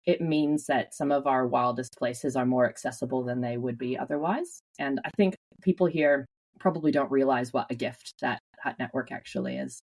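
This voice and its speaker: A Canadian woman telling stories with lively energy as an interview guest, laughing often. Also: extremely low pitch, moderate pace, poor audio. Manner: animated storyteller, laughs often. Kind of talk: casual interview.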